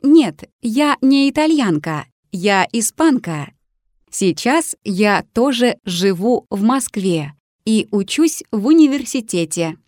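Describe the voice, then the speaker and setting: Woman, lesson reader, slow pace, careful articulation, dry recording. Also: fairly high pitch, very animated, a little mellow, dry recording. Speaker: language teacher. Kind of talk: scripted lesson dialogue reading.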